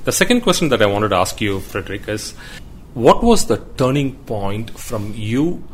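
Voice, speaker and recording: Male interviewer, lower voice, courteous and affirming, slight background noise. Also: very animated, normal speed. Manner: polite, affirming before asking. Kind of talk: interview questions.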